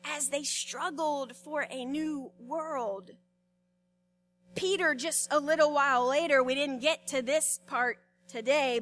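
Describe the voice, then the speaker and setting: Lady pastor giving a sermon, slow and storytelling, bright high voice. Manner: expressive storytelling with repeated words and dramatic pauses.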